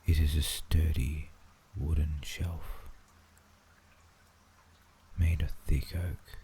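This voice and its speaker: A man with an Australian accent narrating a sleep visualization in a soothing, hushed ASMR manner, often dropping into a whisper. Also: very deep voice, flat delivery, drawn-out words, gravelly. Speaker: guided meditation narrator. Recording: faint background noise and ambience.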